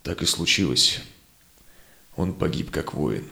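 A man acting a seasoned, honorable Cossack explorer in a verse audio drama, with solemn, declamatory, measured delivery. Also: extremely low pitch, expressive, vocal fry, pushed and bright, heavily acted. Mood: solemn, proud. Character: seasoned Cossack ataman explorer, honorable, sorrowful, longing for home.

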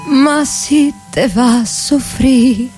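A woman's voice: sits high, drawn-out words. A woman with a Roman accent singing a traditional Roman folk song. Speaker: singer. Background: guitar accompaniment.

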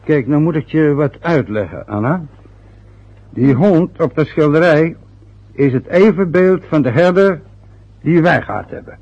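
A gravelly, sarcastic old father. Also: on the high side, animated, a little slow, darkish tone. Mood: aggrieved. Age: older. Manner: grumbling, sarcastic. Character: stubborn aging father, sulky and sarcastic. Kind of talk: radio drama acting. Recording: dull lo-fi old radio recording.

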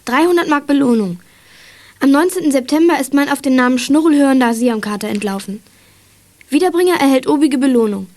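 A woman's voice: high-pitched, fairly quick, a little mellow, close to an everyday voice. A woman playing an anxious, earnest young girl in a children's audio drama. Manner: earnest, pleading, girlish.

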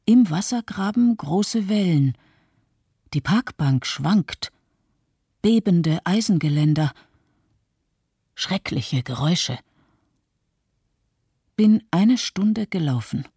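An actor voicing a stunned earthquake witness in a poetic radio monologue, in clipped short phrases with pauses. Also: mid-range voice, lively intonation, warm tone, breathy edge, no room sound, clean studio sound, exaggerated voice. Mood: stunned, bleak.

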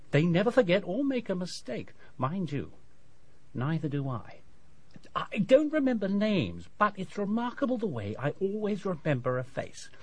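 A man playing a chatty, self-satisfied English dentist in a radio drama, with a brisk professional patter. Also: fairly high pitch. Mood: opinionated, self-satisfied.

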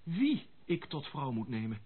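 An excitable young gentleman in a radio drama. His voice is husky and leaps up and down as he exclaims and stumbles over his words. The recording is dull and lo-fi. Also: medium pitch, unhurried. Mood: agitated, troubled. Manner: effusive, exclamatory, stammering when agitated. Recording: muffled lo-fi radio play recording.

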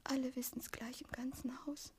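A timid young niece in a radio play. Her high voice is very breathy, often sinking to a whisper. She speaks in anxious, hesitant bursts, like private thoughts. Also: little pitch movement, moderate pace. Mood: anxious, hushed. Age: young adult. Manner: agitated, hesitant. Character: shy, anxious poor young niece, overwhelmed by a grand hotel. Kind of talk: radio drama, hushed inner monologue.

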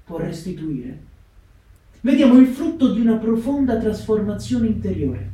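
An actor playing an earnest, admiring narrator of a life story in a staged theatre reading, with measured, declamatory phrasing. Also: male voice, high voice, big pitch swings, dark tone. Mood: solemn, admiring. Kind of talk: solemn scripted theatrical narration. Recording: very echoey stage, distant microphone.

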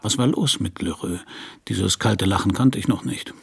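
A man playing a world-weary private detective who narrates his case in a hardboiled radio crime play, dry and laconic, with a husky, gravelly voice. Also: low-pitched, normal speed, noisy background. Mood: wry, brooding. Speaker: narrator and lead actor.

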